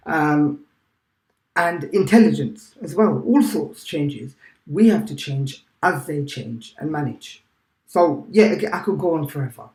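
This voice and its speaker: A man with a fairly high voice talks about teaching in a loose, animated way. He keeps tagging his points with "right?". The sound is roomy and echoey. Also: measured pace. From United Kingdom. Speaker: teacher, guest. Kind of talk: casual interview chat. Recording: echoey room.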